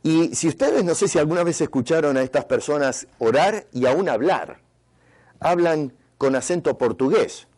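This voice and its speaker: Earnest Argentine pastor preaching. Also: male voice, on the high side, animated, normal speed, darkish tone. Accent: Argentine Spanish. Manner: earnest, rhetorical questions to the congregation. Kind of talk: sermon. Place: church retreat in Canada.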